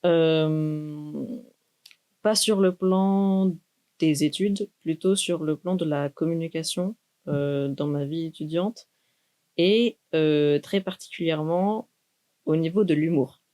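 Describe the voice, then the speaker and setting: A young woman, a doctoral student speaking in a thoughtful, measured way with many pauses in a university podcast interview. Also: sits low, dark tone. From Greece. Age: 20s. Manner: measured, thoughtful, with frequent hesitations.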